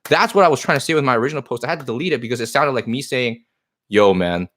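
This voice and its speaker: A man's voice: medium pitch, fast, a bit bright. A man whose first language is Chinese, owner of a card shop, talking earnestly on a live stream and answering viewer comments in a rambling way, often repeating himself.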